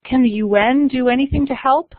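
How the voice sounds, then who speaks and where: A woman moderating an online discussion, reading questions in a brisk, polite way. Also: high-pitched, no room sound. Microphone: online call audio. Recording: dry, tinny phone-like line.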